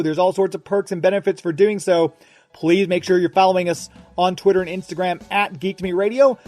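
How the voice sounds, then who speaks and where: A man's voice: high-pitched, darkish tone. A man hosting a radio interview show in a casual, friendly manner. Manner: friendly, complimentary.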